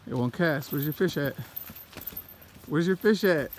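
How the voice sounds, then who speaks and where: A man's voice: a little high, a little slow, slight background noise. A man chatting in an easygoing way outdoors by the water while filming a fishing trip. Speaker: fishing vlogger. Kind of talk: casual chat outdoors.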